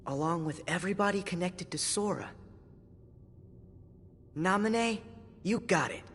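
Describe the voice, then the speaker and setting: Casting: teenage boy hero, video game, earnest, pensive, slow hesitant delivery with long reverb. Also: sits high, fairly bright tone, a little hiss or hum. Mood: warm, grateful. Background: game music.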